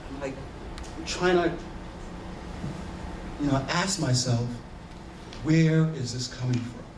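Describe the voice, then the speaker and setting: A man's voice: mid-range voice, background noise. Earnest man with a Haitian accent. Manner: earnest, searching, many fillers and restarts. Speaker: panelist. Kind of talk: reflective personal talk on a panel. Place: conference room. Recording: echoey room, voice from far off.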